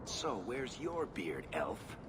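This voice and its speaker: An actor playing a cheeky, easygoing dwarf rogue in a video game, wry and joking. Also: male voice, medium pitch, very animated, slow, very noisy. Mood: teasing.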